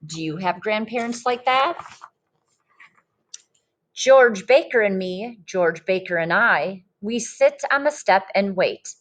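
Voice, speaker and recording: A woman reading a children's story aloud expressively, like a teacher, in a deliberate manner that invites listeners in. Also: mid-range voice, animated. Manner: slow, deliberate, pauses to ask listeners questions.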